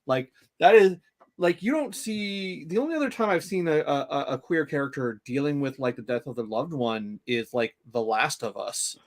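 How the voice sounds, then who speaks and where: A man co-hosting a podcast, talking casually about a TV series with halting restarts. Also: on the high side, expressive. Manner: halting, many restarts and fillers. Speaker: podcast co-host. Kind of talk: casual fan discussion.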